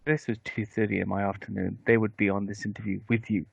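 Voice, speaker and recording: A man's voice: on the low side, level tone, fast, gravelly, husky, no room sound. A man in his thirties hosting a podcast interview from home on a headset mic, talking casually with many ums and restarts. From Wales. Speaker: podcast host. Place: home room with bookshelves. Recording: dry, slightly dull headset audio.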